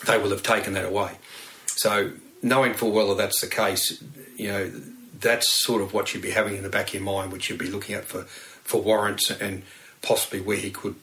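An Australian man, a former detective, giving halting, rambling expert explanations in an unscripted interview. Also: lowish voice, a bit bright, rough recording.

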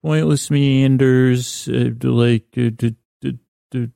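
A man hosting a sleep podcast with a creaky voice, giving a meandering bedtime monologue in a lulling, halting way, often correcting himself. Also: steady tone, mellow, no echo, studio quality. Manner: rambling, halting, self-correcting; soothing, lulling, low-key.

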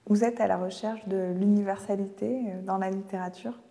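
A woman interviewer asking formal questions in a measured, composed way. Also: mid-range voice, fairly even intonation, creaky, warm tone, in a large hall.

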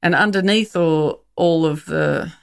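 Gravelly-voiced woman, frank and wry. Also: deep voice. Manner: frank, wry, with halting restarts. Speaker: comedian guest. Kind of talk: reflective interview talk.

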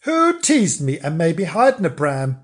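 A man's voice: on the high side, wildly swooping pitch, normal speed. A man voicing an offended, haughty pet cat with an indignant manner in a storybook. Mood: huffy, offended. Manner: indignant, huffy.

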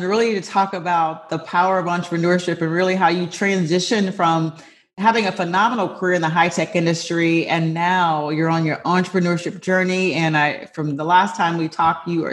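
Upbeat woman podcast host, low voice. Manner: upbeat, affirming. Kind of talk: podcast interview.

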